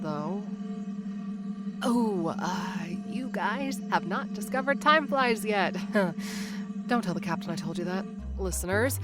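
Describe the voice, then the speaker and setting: A woman narrates a comedic audio drama in the first person. She rambles and stumbles through fillers and drops wry asides to the listeners, with music playing clearly beneath her. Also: mid-range voice. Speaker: narrator and lead character.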